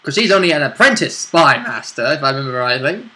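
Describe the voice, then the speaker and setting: Sharp, animated man, higher-pitched. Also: quick pace. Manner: interjecting, animated agreement. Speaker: player in the role-playing game. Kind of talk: casual chat about a game session.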